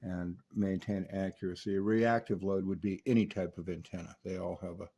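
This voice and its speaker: A man with a fairly low voice talks through a hands-on equipment demonstration. He explains things in a methodical, technical way, speaking off the cuff as he works. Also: fairly even intonation, brisk, gravelly, dark tone, husky, highs cut off. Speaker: radio restorer demonstrating equipment.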